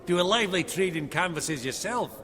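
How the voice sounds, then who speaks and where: A man's voice: sits high, lively intonation, a little fast, crowd noise. A man playing a shrewd, sociable merchant in a video game, with a Scottish accent and jaunty, colloquial talk, in a reverberant hall. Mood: jovial, teasing. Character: sociable, shrewd male merchant, food-loving art dealer.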